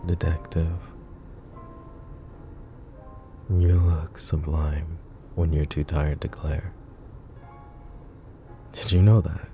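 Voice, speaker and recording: A man voicing a flirty, possessive and protective mafia boss in an ASMR roleplay, teasing and soft-spoken. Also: very deep voice, flat delivery, slow, over music. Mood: tender teasing.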